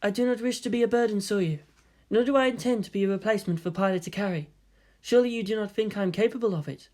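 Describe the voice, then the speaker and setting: A woman voicing a quiet, eerily detached teenage girl who speaks with stiff, formal politeness in a story reading. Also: medium pitch, fast talker, pitched above the performer's own voice, lightly acted.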